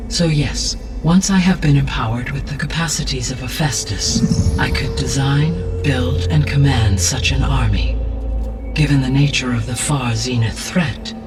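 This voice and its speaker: A man voicing a calm, benevolent artificial intelligence in a video game, speaking in a formal, precise and measured way. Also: medium pitch, recorded from a distance, lightly acted. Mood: grave, conscientious. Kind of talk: scripted video game cutscene dialogue. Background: background music.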